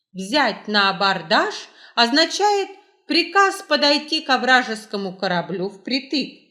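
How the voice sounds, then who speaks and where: A woman reads quiz questions and answers as a scripted host. Her voice is bright and clear-cut, with lively swings in pitch. She takes her time, enunciating each phrase in a measured way. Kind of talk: scripted quiz narration, reading aloud.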